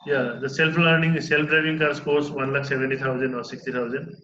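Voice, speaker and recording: A man's voice: medium pitch, flat delivery, fairly quick, sharp tone. A man talking shop as an instructor, hesitant and full of fillers. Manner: hesitant, many fillers and restarts. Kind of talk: casual technical conversation. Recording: roomy, dull lo-fi recording.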